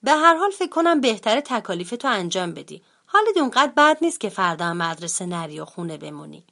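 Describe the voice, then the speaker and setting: Woman doing a mother bear, calm, firm, storybook. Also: mid-range voice, vocal fry, exaggerated voice.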